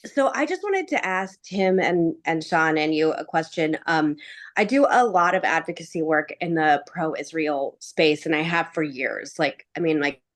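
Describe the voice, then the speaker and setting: Female caller, slightly low voice, casual and emphatic, airing personal political opinion. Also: lively intonation, measured pace. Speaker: caller and pro-Israel advocate. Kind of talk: casual, opinionated question on a video call.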